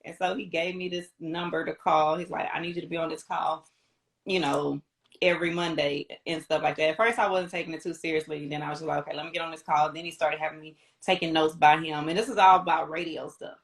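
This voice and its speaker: An American woman in middle age speaks in a fairly low voice. She is an interview guest, telling her story in a casual, conversational way and acting out little bits of remembered dialogue as she goes. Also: steady tone, fairly quick. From Houston, Texas, United States. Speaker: interview guest, radio promotions representative.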